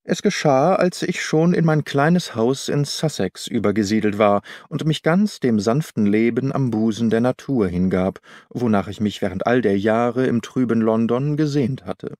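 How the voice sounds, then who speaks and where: A man narrates a literary audiobook in a measured, articulate way. His voice sits at a middling pitch, and the recording is dry, with hardly any room around it. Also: animated, a little mellow, no room sound. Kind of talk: scripted literary narration, first-person storytelling.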